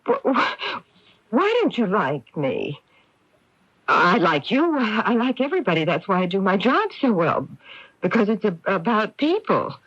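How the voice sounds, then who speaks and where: Affected, swooping middle-aged society columnist. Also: female voice, mid-range voice, sing-song, measured pace, fairly bright tone, husky, dry recording, exaggerated voice. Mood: wounded, pleading. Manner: theatrical, gushing, peppered with endearments and French. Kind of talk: 1980s radio drama acting. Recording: dull old radio broadcast recording.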